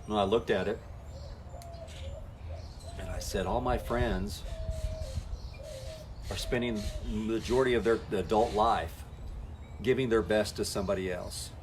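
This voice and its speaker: A man's voice: on the low side, unhurried, pauses often, noisy background. A man coaching network marketers in a folksy, talk-to-camera style, acting out the conversations he has with people. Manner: folksy, acts out quoted conversations.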